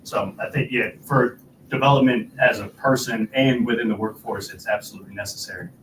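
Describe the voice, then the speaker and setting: A man's voice: on the low side, steady tone, a little fast, fairly bright tone, slight background noise. Young man in an echoey room. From Rensselaer, New York. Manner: thoughtful, hesitant with "uh" and "um". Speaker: youth panelist. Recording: echoey room, distant mic.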